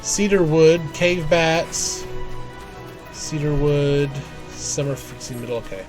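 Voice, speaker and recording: A man giving casual live game commentary, thinking aloud in halting fragments as he plays. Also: fairly high pitch, music in the background. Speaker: game streamer.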